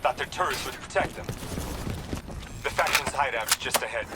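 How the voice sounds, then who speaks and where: A man's voice: medium pitch, lively intonation, normal speed, very noisy, lightly acted. A man playing a brash, foul-mouthed soldier mentor in a video game, talking in a slangy, brotherly, swaggering way. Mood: confident, commanding. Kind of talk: video game cutscene acting.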